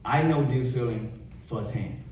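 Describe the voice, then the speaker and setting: A young man with a Vietnamese accent giving an emphatic motivational keynote to a live audience, with dramatic pauses and rhetorical questions. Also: mid-range voice, darkish tone, slight background noise. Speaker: motivational speaker. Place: large event hall. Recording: echoey hall from far off.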